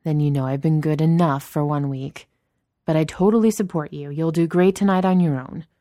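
A woman voicing a grumpy, dry-witted male office worker with a gruff, deadpan, sarcastic manner. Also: sits low, normal speed, no echo, close to an everyday voice. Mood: dry sarcasm.

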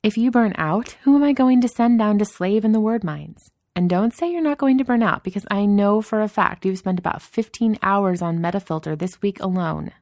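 A woman's voice: medium pitch, brisk, few pauses, darkish tone, no room sound, clean studio sound, pitched above the performer's own voice, lightly acted. A woman voicing a brash senior executive woman who teases with theatrical sarcasm and mock drama. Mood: exasperated, scolding.

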